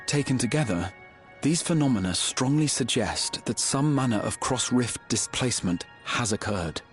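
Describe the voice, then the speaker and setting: An actor voicing a self-assured young scholar in a video game, explaining things in formal, precise, deliberate phrases. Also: male voice, mid-range voice, lively intonation, faint music behind, close to an everyday voice. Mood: measured, analytical. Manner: articulate, formal, deliberate lecturing delivery. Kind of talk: scripted video game exposition.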